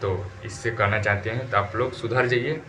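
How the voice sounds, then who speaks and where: Male online gossip news presenter, Bhojpuri accent, lowish voice, emphatic and colloquial, noticeable noise behind him. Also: steady tone, normal speed, bright, forceful tone. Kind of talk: informal YouTube news commentary.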